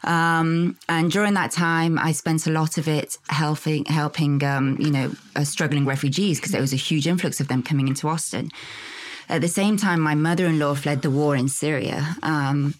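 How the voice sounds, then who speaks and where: A woman from England, a podcast guest telling her story in a lively, lilting way. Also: low voice. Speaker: food company co-founder, guest. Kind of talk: casual interview.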